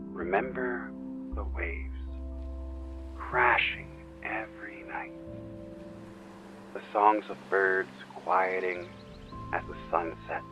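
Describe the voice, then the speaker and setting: A man acting in an audio drama as an earnest spiritual friend, coaxing and deliberate, who guides meditation. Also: low-pitched, a bit bright, highs cut off, exaggerated voice.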